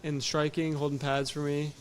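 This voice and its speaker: A man's voice: fairly high pitch, little pitch movement, normal speed. Young male guest from California, light voice, humble and easygoing, trails off with yeahs. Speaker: amateur MMA fighter, guest. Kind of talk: casual podcast interview.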